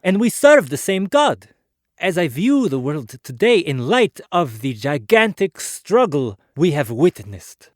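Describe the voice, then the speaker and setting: A man playing an earnest, philosophical inventor in a dramatic biography, speaking in a measured, formal, oratorical way. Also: mid-range voice, no room sound, clean studio sound. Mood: solemn conviction. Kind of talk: dramatic biography narration, formal first-person monologue.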